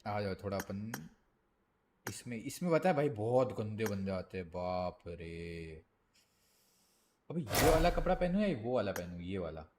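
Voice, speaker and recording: A man livestreaming a game, chatting casually in slang, reacting excitably and muttering to himself. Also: lowish voice, a little slow. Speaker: gaming streamer. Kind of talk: casual livestream chat with viewers. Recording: clear background noise and clicks.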